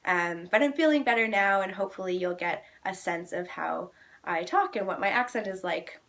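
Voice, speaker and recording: A young Canadian woman talks to her camera in a relaxed vlog. Her Canadian English accent comes through clearly, and she keeps a friendly, chatty flow, filling her pauses with ums. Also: fairly low pitch. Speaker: vlogger. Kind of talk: casual chat to camera.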